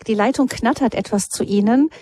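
A woman presenting a radio call-in show, asking clear, polite questions and passing the line to callers. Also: a little high, brisk, crowd noise.

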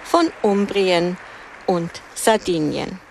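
A woman's voice: lowish voice, takes it slowly, creaky, rough recording. Woman interpreting live over noise. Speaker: broadcast commentator and interpreter. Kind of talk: live voice-over translation and commentary.

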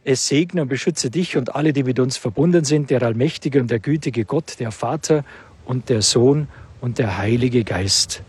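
A man speaking as a bishop in devotional talk and blessing, solemn, in measured phrases. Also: mid-range voice, a little mellow, breathy edge. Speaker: auxiliary bishop.

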